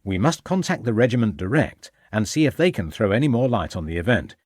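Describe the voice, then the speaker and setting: Male reader as storybook detective inspector: man, brisk, formal, in command, lively intonation, dry close recording. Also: fairly low pitch, breathy edge, no room sound. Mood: businesslike. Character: brisk, authoritative Victorian police inspector, male.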